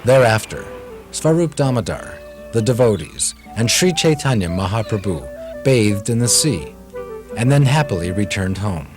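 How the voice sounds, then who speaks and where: A man's voice: fairly low pitch, big pitch swings, measured pace. A man narrating a puppet show as a storyteller, reading a scripted tale over music.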